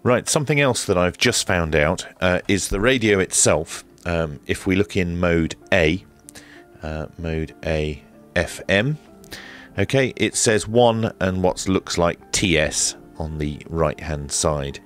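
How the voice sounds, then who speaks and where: A man's voice: low-pitched, lively intonation, takes it slowly, soft background music. A man presenting a casual hands-on radio equipment demonstration, explaining step by step in a methodical, hesitant way.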